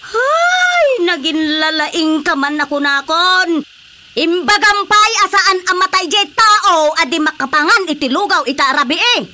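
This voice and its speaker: A woman with an Ilocano accent playing an excitable, bossy village captain in a radio comedy drama. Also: very high voice, measured pace, a little hiss or hum, exaggerated voice. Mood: furious scolding. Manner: dramatic, exclaiming, scolding.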